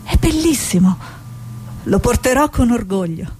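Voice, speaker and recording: An actress playing an earnest, idealistic female war reporter, speaking in a measured, reflective, theatrical way. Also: fairly high pitch, lively intonation, dark tone, a little hiss or hum. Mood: uneasy. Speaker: stage actor. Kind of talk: stage drama, dialogue and reflective monologue.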